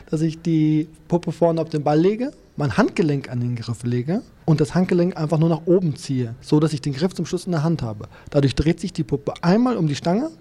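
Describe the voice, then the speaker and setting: A man's voice: fairly high pitch, mellow. A man in his thirties from Hannover, a table football player, explaining techniques and rules precisely, step by step. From Germany, Hannover. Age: 30s. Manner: precise, explanatory, walks through trick shots step by step. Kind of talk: interview statements.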